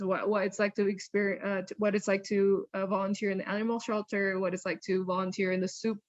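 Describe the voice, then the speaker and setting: A woman who is a university counselor, answering student interview questions in a chatty, lively way and often correcting herself. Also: mid-range voice, dry recording, lo-fi sound.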